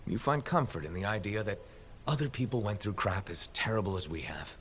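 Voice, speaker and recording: A man's voice: lowish voice, animated, fairly quick, fairly bright tone, slight background noise. An actor playing a young male freelancer in a video game, talking with dry, sarcastic humor. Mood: wry. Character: wry male freelancer pilot, young adult. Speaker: freelancer, player character.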